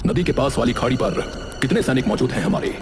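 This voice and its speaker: A man's voice: medium pitch, animated, quick pace, lightly acted. A man voicing a stern, wary fairy-tale king with a formal, commanding manner. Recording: long hall reverb under music.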